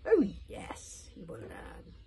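A woman's voice: takes it slowly, background noise, natural voice. A woman voicing a creepy old blind witch from a storybook, purring and grimly polite. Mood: grim, menacing.

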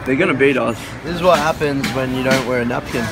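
A man's voice: mid-range voice, quick pace. A young man chatting casually with friends as a vlogger, teasing them with crude jokes. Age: young adult. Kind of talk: casual banter with friends while travelling. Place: busy airport and vehicles. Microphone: handheld camera. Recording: noisy handheld camera audio in public spaces. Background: loud travel and crowd ambience.

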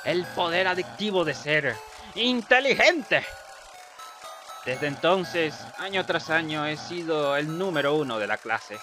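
A man voicing a vain, insecure young blue bird in a video game fandub, theatrical, halting and self-important. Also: a little high, bright, forceful tone, full character voice.

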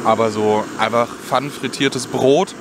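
A young man with a mid-range voice talks in an easygoing, colloquial way, as if thinking out loud for his viewers. He is recorded outdoors by a camera microphone, with plainly audible ambient noise behind him. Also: medium pitch, steady tone, unhurried. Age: young adult. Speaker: outdoor cooking vlogger. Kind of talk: casual chat to viewers while cooking. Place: outdoors in a forest. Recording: clearly noisy outdoor background.

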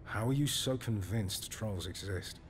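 A man's voice: fairly low pitch, a little slow, slight background noise, close to an everyday voice. A man acting in a video game as a middle-aged, hard-bitten mercenary hunter, blunt, sardonic and skeptical.

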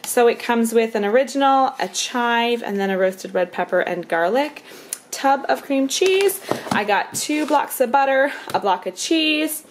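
Chatty higher-voiced mom vlogger. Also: female voice, expressive, unhurried, a little hiss or hum. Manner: chatty, laughs often, says um and like. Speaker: vlogger, mother of five. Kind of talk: casual grocery haul chat. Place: home kitchen and living room. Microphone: handheld camera at arm's length.

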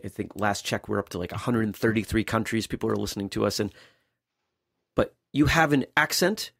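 A man's voice: mid-range voice, no echo. Chatty male podcast host, dry sound. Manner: friendly, chatty, repeats words when starting sentences. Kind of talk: casual interview.

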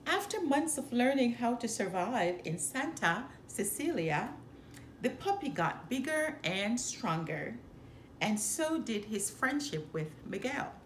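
A middle-aged woman reading a children's storybook aloud with careful, expressive phrasing, as a storyteller. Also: on the high side, takes it slowly. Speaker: storyteller, channel host. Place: indoor living room by a fireplace. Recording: roomy echo, some background noise.

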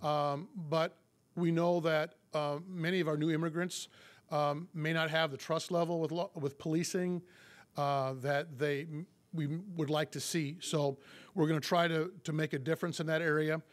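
A man in his fifties, a police chief getting over a cold, giving a public address at a podium mic in a meeting room, halting with many fillers and restarts. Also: on the high side, steady tone. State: recovering from a cold.

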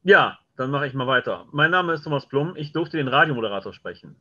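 A man's voice: medium pitch, expressive, brisk, sharp tone. A middle-aged man telling anecdotes casually as an interview guest on a video call. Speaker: interview guest, scriptwriter and director. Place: indoor home room, video call. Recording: dull, lo-fi video call audio.

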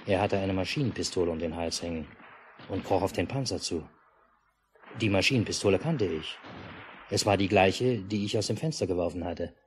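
A man's voice: deep voice, natural voice. A man playing an unflappable family father in a 1950s radio drama, with a dry, understated delivery. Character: unflappable, dryly matter-of-fact family father amid war. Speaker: narrator and father. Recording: noisy 1950s mono radio recording.

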